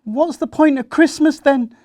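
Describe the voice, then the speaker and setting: Man as a young storybook boy, earnest, emotional, emphatic, raised pitch. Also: a little slow, darkish tone, no room sound, clean studio sound. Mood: indignant, upset.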